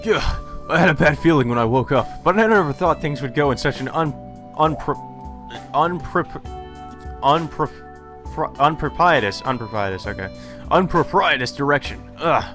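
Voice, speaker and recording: A man's voice: fairly high pitch, lively intonation, normal speed, fairly bright tone. Man reading as stern student council president, visual novel game, exasperated, stiff, disapproving, music behind. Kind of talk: amateur visual novel dub.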